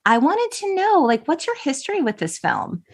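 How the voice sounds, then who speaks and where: Podcast host, female, forties, higher register, very lively intonation, expressive and enthusiastic in casual interview. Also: moderate pace. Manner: expressive, enthusiastic, dramatic emphasis.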